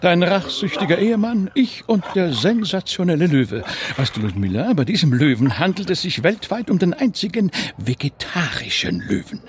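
A man's voice: high-pitched, sing-song, normal speed, slight background noise. An actor playing a portly, self-satisfied zoo director who explains things to his lover pompously in a radio play. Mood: smug, reassuring. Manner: pompous, explanatory, condescendingly affectionate. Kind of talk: theatrical radio drama dialogue.

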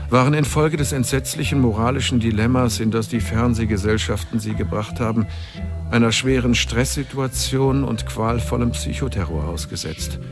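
A man's voice: mid-range voice, moderate pace, lightly acted. A man narrating a radio drama epilogue in a formal, scripted style. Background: music.

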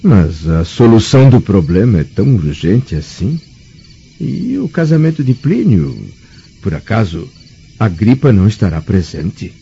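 An actor playing a grave, nostalgic Roman senator in a formal, solemn radio drama style. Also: male voice, lowish voice, big pitch swings, a little slow, gravelly, dark tone, soft background music, full character voice. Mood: pensive. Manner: solemn, declamatory. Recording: muffled, dull radio drama recording.

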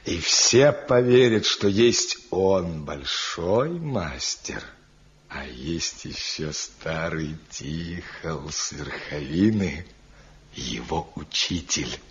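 An actor playing an old master woodcarver in a radio drama, gentle, proud and fatherly, often repeating his words. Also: extremely low pitch, big pitch swings, extremely slow, exaggerated voice. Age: older. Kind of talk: Soviet radio drama acting. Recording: dull 1970 radio play recording.

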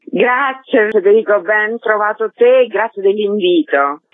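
A woman's voice: medium pitch, a little slow, no room sound. A woman speaks as an articulate interview guest, explaining at length. She comes over a narrow phone line with a dry sound. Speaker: interview guest, theatre director. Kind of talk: radio interview, explaining a project.

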